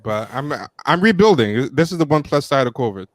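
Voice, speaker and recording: A man in his thirties co-hosting a casual livestream chat from home, joking at his own expense, laughing often and pausing on fillers. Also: mid-range voice, animated, no echo. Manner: self-deprecating, laughs often, trails off with fillers. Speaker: podcast co-host. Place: home room with plants and posters. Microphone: studio mic with headset, on a video call.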